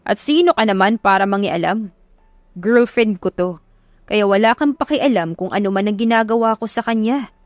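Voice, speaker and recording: A woman's voice: mid-range voice, normal speed, no room sound, close to an everyday voice. A furious, jealous bully of a boyfriend in an audio love drama, acted out by a woman narrator. He is drunk and domineering, barking accusations in a close, dry recording with music behind. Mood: angry, threatening.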